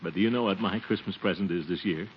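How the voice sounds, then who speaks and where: An actor playing a pompous, doting middle-aged uncle with a blustery, chuckling manner in 1940s radio comedy style. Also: male voice, deep voice, quick pace, natural voice. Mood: doting, tender. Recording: noisy, muffled 1940s radio recording.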